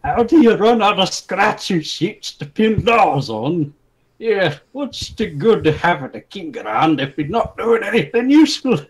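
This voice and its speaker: Man putting on a loud, belligerent storybook hooligan. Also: sits high, sing-song, a little slow, husky, voice pushed up, exaggerated voice. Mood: indignant. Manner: shouting insults in mangled slangy phrases. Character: two brawling loutish hooligans, crude and belligerent.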